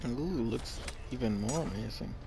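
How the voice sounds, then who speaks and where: A man's voice: mid-range voice, animated, normal speed, gravelly, music in the background. A man casually commenting as he plays a video game, chatting and laughing with his viewers. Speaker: gamer playing a video game.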